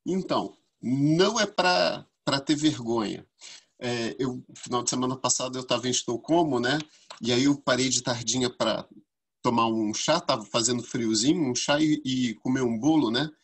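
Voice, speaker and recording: A man from Brazil, co-hosting a casual podcast and telling animated stories that jump high when he acts out quotes. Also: normal speed, slightly rough. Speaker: podcast co-host.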